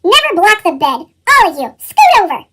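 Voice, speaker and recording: Strict male monster teacher, acted by a woman, storybook, chipmunk effect, very high, animated, instructive and formal. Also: moderate pace, full character voice. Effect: chipmunk pitch-shifted voice.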